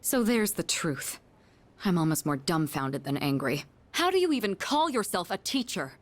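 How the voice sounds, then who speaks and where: A severe, indignant student council president from an anime-style game. The voice is fairly low and strongly inflected, with formal, cutting delivery. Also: female voice, very animated, normal speed.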